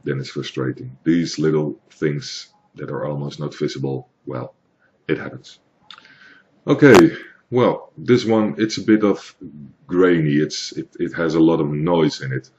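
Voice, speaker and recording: A man from the Netherlands with a Dutch accent, explaining software in a hesitant, plain tutorial with many fillers. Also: a bass voice, unhurried, highs cut off. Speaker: tutorial maker. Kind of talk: screen-recorded software tutorial.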